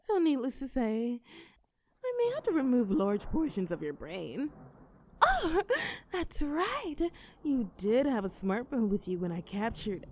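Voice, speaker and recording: Theatrical, wildly swooping mad scientist. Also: female voice, a little high, a little mellow, full character voice. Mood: mockingly playful. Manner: theatrical, precise, formal diction with drawn-out phrases. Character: gleefully cruel, theatrical female mad scientist planning experiments. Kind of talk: horror audio roleplay addressed to the listener.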